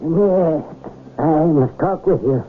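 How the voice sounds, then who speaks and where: An actor playing an earnest, alarmed former research chief giving insistent, ominous warnings in an old-time radio drama. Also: male voice, on the high side, takes it slowly, slight background noise. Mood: grave, insistent. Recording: muffled old radio broadcast recording.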